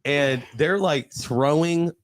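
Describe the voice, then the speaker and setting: A man's voice: fairly high pitch, slow, no echo. An American man around forty telling stories in a casual, profane, slangy podcast chat style. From Ohio, United States.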